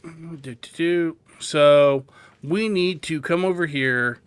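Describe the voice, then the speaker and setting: A man's voice: on the high side, takes it slowly, smooth, no room sound. A man hosting a video game tutorial, casually explaining menus and thinking aloud as he plays. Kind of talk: casual gameplay walkthrough commentary.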